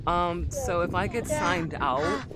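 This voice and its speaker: A woman's voice: lowish voice, sing-song, takes it slowly, heavy background noise. A woman streaming and chatting casually with her viewers, rambling and calling them sweetie.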